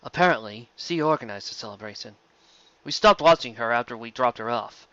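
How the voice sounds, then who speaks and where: Man playing a stallion guard officer, authoritative, deadpan, forceful bright tone, storybook reading, muffled recording. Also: medium pitch, brisk, smooth, no echo, lightly acted. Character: commanding, deadpan male pony guard officer.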